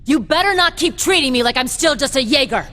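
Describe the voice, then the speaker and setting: A woman's voice: sits high, expressive, brisk, bright, forceful tone. An actress playing a defiant, hot-headed woman fighter in a video game, blunt and challenging. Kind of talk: English video game dub acting. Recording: echoey hall reverb.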